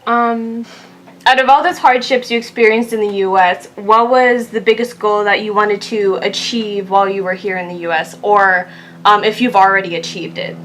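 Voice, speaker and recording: A woman's voice: medium pitch, steady tone, normal speed, a bit bright, low-quality recording. A young adult woman hosting an informal interview for a channel, reading out prepared questions.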